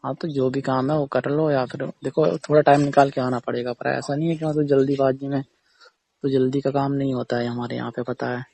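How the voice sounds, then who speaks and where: A man's voice: mid-range voice, flat delivery, a little fast, vocal fry, mellow. A man playing a defensive tailor who keeps explaining himself insistently to a customer in a casual phone conversation. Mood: patient, insistent. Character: defensive male tailor arguing patiently with a customer.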